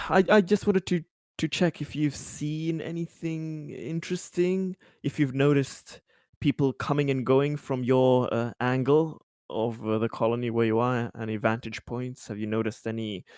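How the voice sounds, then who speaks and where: A man playing a polite corporate director in a tabletop game, speaking haltingly with careful, diplomatic wording. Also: on the high side, a little mellow, no echo, clean studio sound, voice pushed up, lightly acted. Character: polite, hesitant male corporate director offering quiet bribes.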